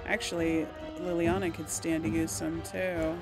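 Slow-paced woman gamer over loud music. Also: low voice, flat delivery. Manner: chatty, talks to game characters and herself. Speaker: host of a role-play gaming series. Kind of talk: casual gameplay commentary with in-character replies. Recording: game music behind the voice. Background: video game music.

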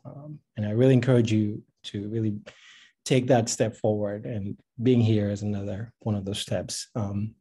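A man's voice: lowish voice, fairly even intonation, normal speed, warm tone, breathy edge. A middle-aged man from Tanzania speaking informally and encouragingly in a workshop talk, often repeating phrases for emphasis. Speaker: speaker, founder of a science advocacy institute. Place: indoor room with bookshelves, on a video call.

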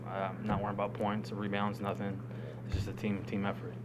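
A man in his twenties, a college athlete, answering postgame questions in a halting, understated way with repeated words. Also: fairly low pitch. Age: 20s. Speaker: college basketball player. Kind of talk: postgame press conference answers. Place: indoor press conference room. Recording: noisy room with steady hum.

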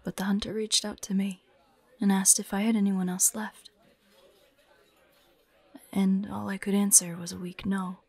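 A woman voicing a grieving, vengeful young demon hunter in an audio roleplay, soft and breathy, telling her story haltingly. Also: mid-range voice, flat delivery. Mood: wistful, subdued. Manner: slow, halting, haunted storytelling. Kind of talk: dramatic audio roleplay monologue.

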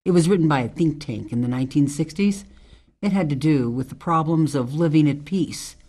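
Radio drama: tough female ex-military ranger, played by a woman, measured, earnest monologues, low-voiced for a woman. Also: mellow. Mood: solemn, earnest. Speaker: actor in an amateur radio drama.

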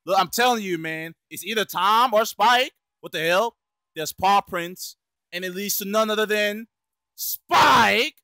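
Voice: mid-range voice, measured pace, pushed and bright, dry recording. An amateur performer dubbing a cartoon comically, with slangy exclamations and plenty of cursing. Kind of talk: profane comic cartoon fandub.

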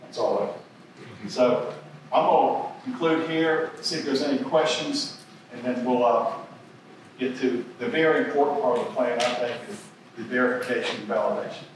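A man's voice: medium pitch, moderate pace, a little hiss or hum. A man in his sixties giving a seminar talk at a podium, rambling and repeating words as he goes. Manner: rambling, repeats words, chuckles at own stories. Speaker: seminar presenter. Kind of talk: conference lecture. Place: university lecture hall. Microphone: podium microphone. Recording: echoey hall, heard from a distance.

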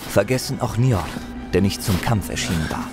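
A man with a low voice narrates a fantasy tale in a dramatic, scripted style. Music plays clearly behind his storytelling. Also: normal speed.

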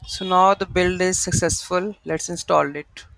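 Male tutorial presenter, slow and halting, flat delivery, unscripted, close dry sound. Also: high voice, vocal fry, no room sound, poor audio. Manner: halting, thinking aloud. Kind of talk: unscripted screen-share coding walkthrough. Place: voice-over to a screen recording.

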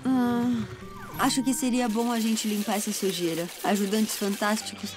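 A woman's voice: a little high, little pitch movement, normal speed, over music, close to an everyday voice. A woman voicing a young boy motorbike who eagerly leads his friends, with a Brazilian accent. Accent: Brazilian Portuguese. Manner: upbeat, takes charge.

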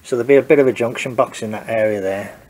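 Hobby restorer, man, mid-pitched, nasal from a cold, muttering through his work near a handheld camera in a garage. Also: fast talker, a little mellow, rough recording. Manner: hesitant, thinking aloud while working. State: congested from a cold. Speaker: home car restorer. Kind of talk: casual workshop walkthrough. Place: home garage workshop.

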